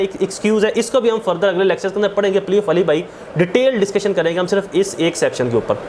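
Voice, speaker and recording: A high-voiced man with a Pakistani accent teaches law. He explains through worked examples, repeating himself and posing questions he then answers. English legal terms are mixed into his speech, and a faint background noise sits under the recording. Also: a little mellow. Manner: didactic, repeats points and asks rhetorical questions. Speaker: law lecturer.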